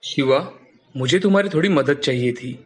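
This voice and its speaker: A man's voice: medium pitch, lively intonation, normal speed, breathy edge. A man playing a friendly, hardworking father who drives a rickshaw in a storybook cartoon. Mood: earnest. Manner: polite, earnest.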